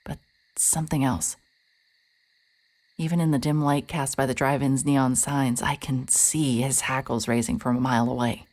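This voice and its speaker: A woman's voice: a bass voice, steady tone, normal speed, a little mellow. An actress playing a hard-hearted, sardonic woman private detective in an audio drama, dry and pressing. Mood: weary, wry. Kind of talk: cinematic audio drama acting with first-person noir narration.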